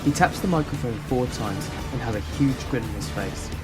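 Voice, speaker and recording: A man's voice: mid-range voice, lively intonation, moderate pace, over loud music, lightly acted. A man giving scripted narration for a fan audio drama.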